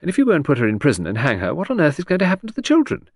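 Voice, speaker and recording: A man's voice: a little high, huge pitch swings, racing, no room sound, studio quality, voice pushed up, exaggerated voice. A man voicing a vague, charming aristocratic older lady who talks in a breezy, scatterbrained gush. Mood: fretful.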